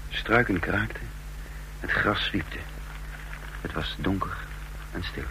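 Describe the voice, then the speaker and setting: A low male voice a bewildered fugitive who has lost his memory. He is brooding and halting, in the manner of suspenseful radio drama, on a slightly noisy, humming old recording. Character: bewildered amnesiac man, escaped condemned prisoner, telling his story. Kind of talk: radio drama acting, brooding first-person narration.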